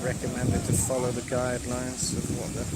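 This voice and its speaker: An English man chatting casually during a live stream on the beach, with lots of fillers and pauses. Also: medium pitch. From England. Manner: relaxed, hesitant, many fillers. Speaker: livestreamer. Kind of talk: casual live stream chat answering viewers. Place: outdoor beach, windy. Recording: loud wind and surf noise outdoors.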